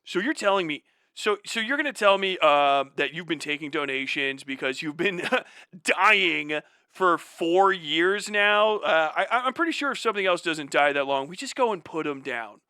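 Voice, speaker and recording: A man's voice: fairly high pitch, lively intonation, normal speed, pushed and bright, smooth, no room sound, studio quality. A man hosting a livestream, giving sarcastic, exasperated and profane mocking commentary. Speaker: livestream host.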